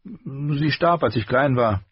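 A man voicing a shy young male blacksmith apprentice, speaking quickly and eagerly. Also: mid-range voice, slightly rough, no echo, pitched above the performer's own voice. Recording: dull lo-fi recording.

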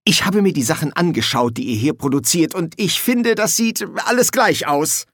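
A man's voice: fairly high pitch, animated, normal speed, a bit bright, no room sound, clean studio sound, voice pushed up. A man voicing an exasperated, impatient businessman in an audiobook, with dramatic groaning delivery. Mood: dissatisfied. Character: exasperated, groaning businessman hungry for showy novelty.

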